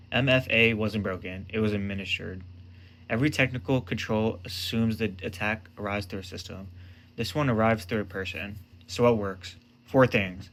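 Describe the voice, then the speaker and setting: A man's voice: lowish voice, a bit bright, a little hiss or hum. A man presenting a scripted explainer in short, emphatic phrases.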